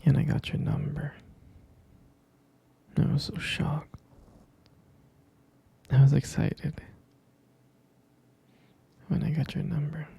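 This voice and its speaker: A man playing a tender, devoted boyfriend in an intimate ASMR roleplay, whispering breathily with a husky, gravelly creak and gentle, hesitant phrasing. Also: on the high side, little pitch movement, heavily acted. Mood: tender, reminiscing. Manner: slow, gentle, hesitant, pausing and repeating. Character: tender, devoted boyfriend soothing his pregnant partner.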